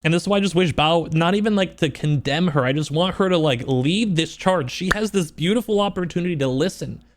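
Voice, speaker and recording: A man speaking off the cuff in a personal vlog, upset and close to crying, halting and repeating himself earnestly. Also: on the high side. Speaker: streamer and video creator. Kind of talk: unscripted personal vlog monologue.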